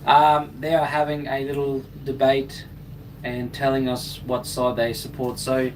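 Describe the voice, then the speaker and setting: A man's voice: medium pitch, little pitch movement, unhurried. A man originally from Germany, working as a crane operator and chatting casually with viewers of his live stream in a joking, laid-back way. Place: crane cab. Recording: distant mic with clear background noise.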